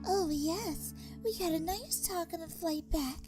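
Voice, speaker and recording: A woman performs a shy pegasus mare in a fan-made cartoon audio drama, with a very high, hushed voice that stammers and trails off. She speaks slowly and gently over soft music in the background. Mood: shy, warm. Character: timid gentle female pegasus pony.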